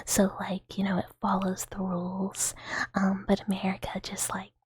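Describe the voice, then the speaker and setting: Breathy whispering woman streamer. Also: medium pitch, monotone, normal speed, no echo. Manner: playful, rambling, giggly. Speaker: livestreamer hosting a chat stream. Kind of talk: whispered ASMR, casual chat with viewers.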